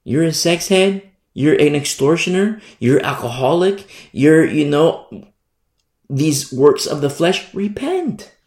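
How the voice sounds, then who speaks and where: A man preaching with a lively, swooping delivery and a gravelly edge to his somewhat high voice. He repeats key words again and again and acts out the people he talks about. The sound carries the echo of a roomy space. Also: slow pace. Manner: animated, repetitive preaching with mock quotes. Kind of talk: sermon. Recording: echoey room sound.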